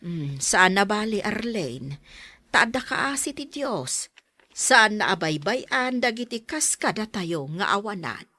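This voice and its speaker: A woman's voice: medium pitch, very animated, measured pace, sharp tone, dry recording, heavily acted. A woman acting a sympathetic, indignant mother figure in a radio drama, commiserating as she speaks. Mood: indignant sympathy.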